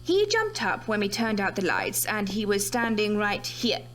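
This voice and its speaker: A woman's voice: medium pitch, sing-song, normal speed, a bit bright, slight background noise. An actress playing a sceptical, analytical woman scientist in an audio horror drama, insistent and matter-of-fact. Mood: methodical. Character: brisk, sceptical female scientist reviewing a death.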